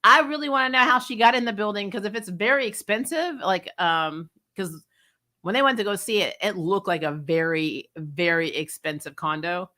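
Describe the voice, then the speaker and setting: A woman with a bright, forward voice talks casually as a show host. She is frank and salty, telling things in an animated, conversational way at a middle pitch. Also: moderate pace. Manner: animated storytelling, laughs often, frank and salty. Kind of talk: casual chatty episode review, reacting to live comments.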